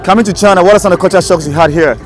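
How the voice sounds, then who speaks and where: A man's voice: sits high, very fast, faint music behind, some chatter behind. Upbeat man hosting street interviews. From Ghana. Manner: upbeat, promotional. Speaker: YouTube host, street interviewer. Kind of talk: channel intro and street interview questions. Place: city street, outdoors.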